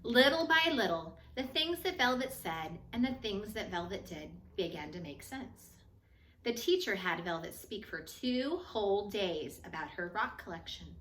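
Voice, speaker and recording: A woman reading a children's picture book aloud in an expressive, measured storytelling manner, with phrases that trail off softer. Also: mid-range voice, a little hiss or hum. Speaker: storybook reader. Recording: echoey room.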